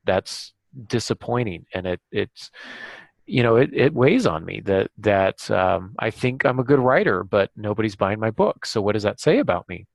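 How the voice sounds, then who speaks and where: A man hosting a podcast, talking reflectively in a halting, thoughtful way. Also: on the low side, animated, vocal fry, no room sound. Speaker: podcast host and author.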